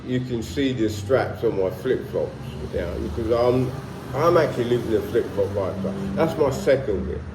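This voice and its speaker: Slow older London man in an echoey room. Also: fairly low pitch, a little hiss or hum. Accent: London English. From London, England. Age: 60s. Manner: rambling, stops and restarts, relaxed. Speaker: vlogger. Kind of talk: casual talk to camera. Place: warm room at home, in Colombia.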